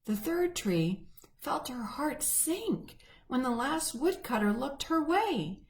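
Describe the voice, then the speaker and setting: A woman reading a picture book aloud to children, gently and expressively. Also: high-pitched, very animated, slow, slight background noise. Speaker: church children's storyteller.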